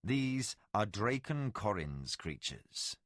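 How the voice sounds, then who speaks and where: A man voicing a deep, grave ancient warrior lord. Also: sing-song, takes it slowly, a bit bright, no echo, clean studio sound, full character voice. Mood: solemn. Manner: grave, solemn, haunted. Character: ancient, commanding male warrior of legend.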